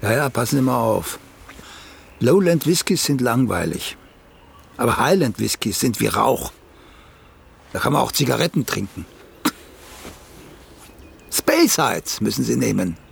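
Slow, gravelly, pompous old playwright. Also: male voice, medium pitch, big pitch swings, a little hiss or hum, full character voice. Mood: lecturing. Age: older. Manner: pompous, needling, with deliberate drawn-out phrasing. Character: vain, cantankerous elderly once-celebrated playwright. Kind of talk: German radio play acting.

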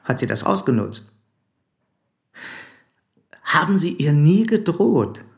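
An actor playing a probing, formal police commissioner in a German radio play, speaking deliberately and insistently. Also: male voice, fairly high pitch, big pitch swings, vocal fry, heavily acted. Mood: pressing.

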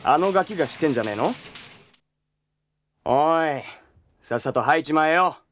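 Crude brash cop, slangy and quick to blow up, lively jumpy voice. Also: male voice, a little high, no echo, clean studio sound. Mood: irritated. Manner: coarse slangy street talk, quick to shout. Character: brash crude hot-headed young male detective. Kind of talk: anime drama CD acting.

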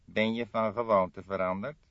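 A man's voice: fairly low pitch, fairly even intonation, normal speed, no room sound, highs cut off, voice pushed up, lightly acted. A man voicing an old police coroner in an audiobook, laconic and dryly sardonic. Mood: grumbling.

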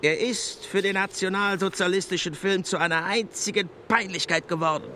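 An actor playing a scornful, threatening Nazi propaganda minister in a German radio play. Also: male voice, high-pitched, fairly even intonation, normal speed, background noise, lightly acted. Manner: cutting, declamatory, threatening. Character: menacing, scornful Nazi propaganda minister dictating his verdict.

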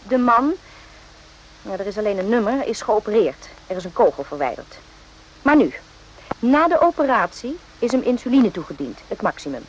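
A woman playing a guarded, correct doctor in a radio play, speaking in a measured, formal way. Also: medium pitch, sing-song. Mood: firm, insistent. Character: uneasy, firm female army doctor, correct and guarded. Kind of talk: radio drama acting with narration. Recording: noisy old radio play recording.

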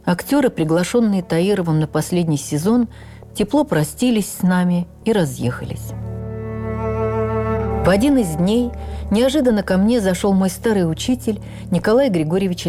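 Memoirist actress, radio drama narration, mellow tone, expressive, measured, devoted, faint music behind. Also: female voice, on the low side. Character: actress recalling her theatre's turbulent past with devotion. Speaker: actress reading a stage memoir.

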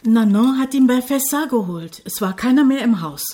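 A middle-aged woman playing a meek, pious, submissive wife in a radio drama, with a soft voice. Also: on the high side, expressive, normal speed. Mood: anxious, meek.

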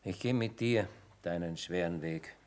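An actor playing a down-at-heel, dignified army chaplain in a German radio play, with solemn, theatrical emphasis. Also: male voice, low voice, unhurried, a little mellow. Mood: grave.

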